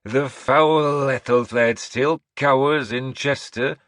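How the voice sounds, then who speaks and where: A man voicing a sinister old woman who rules from a throne, slow, hissing and imperious. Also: mid-range voice, fairly even intonation, fairly bright tone, dry recording, studio quality, voice pushed up. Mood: gloating.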